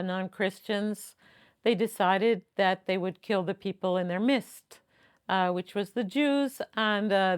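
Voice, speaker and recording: A woman who directs an early music ensemble speaks in a documentary interview. Her voice sits at a middle pitch, and she thinks aloud with frequent pauses and restarts. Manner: thoughtful, searching for words.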